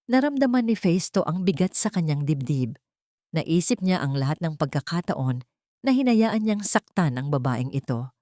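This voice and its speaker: A woman's voice: low-pitched, sing-song, normal speed, mellow, no echo, clean studio sound. A woman reading a scripted dramatic story as an audiobook narrator.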